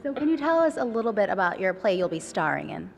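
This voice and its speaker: A woman's voice: mid-range voice, big pitch swings, fast talker, creaky. A woman reporting live on television in a chatty, enthusiastic interview style.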